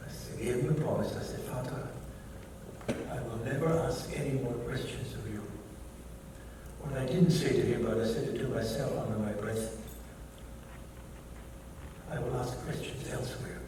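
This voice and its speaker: A man's voice: medium pitch, normal speed, pauses often. An older man with a German accent, a native German speaker, gives a keynote talk and tells personal stories in a reflective way, quoting others and correcting himself as he goes. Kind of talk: personal testimony lecture. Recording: echoey hall, mic at a distance, background noise.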